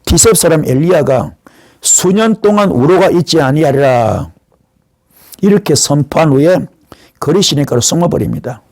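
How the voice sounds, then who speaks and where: A man's voice: fairly high pitch, expressive, moderate pace, darkish tone, no room sound. A man preaching as a pastor, retelling Bible stories like a storyteller, acting out dialogue and posing rhetorical questions.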